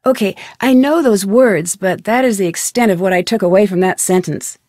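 A woman's voice: mid-range voice, moderate pace, a little mellow, no echo, clean studio sound, close to an everyday voice. A young American woman voicing an inquisitive, polite and determined amateur detective in an adventure video game, with an earnest, questioning delivery. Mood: probing, determined. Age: young adult. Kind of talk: scripted adventure game voice acting.

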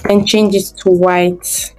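A woman's voice: medium pitch, flat delivery, slow, dark tone, soft background music. A woman giving a screen-recorded design tutorial, thinking aloud step by step as she works.

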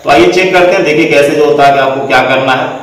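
A man's voice: fairly high pitch, fast talker, fairly bright tone, far from the mic. A young man hosting a screen-recorded tutorial, talking the viewers through a website step by step and using the same filler phrases over and over. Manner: repetitive filler phrases, addresses viewers as students. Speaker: education channel host. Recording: echoey room, voice off-mic.

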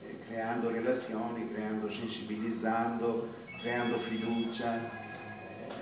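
A man in his fifties giving a reflective talk at a project presentation, pausing often with hesitant fillers. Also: lowish voice, completely flat delivery, music in the background. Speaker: supervisor speaking at a project presentation. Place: large classroom with rows of chairs. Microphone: far camera. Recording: echoey room from far off, background noise.

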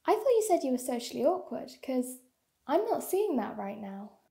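Supportive, observant young sidekick played by a woman for sci-fi audio play, high and mellow, gently probing. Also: big pitch swings, moderate pace, gravelly, exaggerated voice. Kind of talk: fan audio drama acting.

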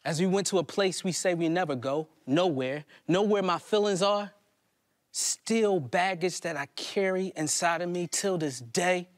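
A man's voice: sits high, measured pace. A man in his thirties delivering theatrical spoken-word poetry on stage, with emphatic rhythm and dramatic repetition. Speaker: spoken word poet performing a stage play. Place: live stage in a plain hall before a small seated audience.

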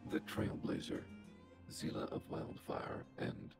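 A man voicing a huge, cold, formal robot in a video game, with clipped machine-like phrasing, a gravelly tone and robotic processing. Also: slow pace, music in the background.

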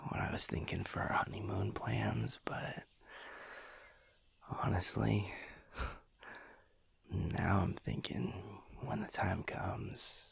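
A man voicing a sweet, affectionate young werewolf lover in an intimate roleplay, speaking hesitantly and tenderly in a gravelly, breathy half-whisper. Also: low voice, very animated, no room sound, heavily acted. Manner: slow, hesitant, tender, chuckling between phrases. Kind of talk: intimate boyfriend audio roleplay.

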